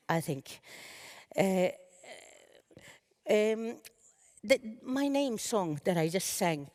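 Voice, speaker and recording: Brief: woman in her fifties, lowish voice, halting earnest panel talk. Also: darkish tone. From Sápmi, northern Sweden. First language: Sami. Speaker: panel speaker. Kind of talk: conference speech.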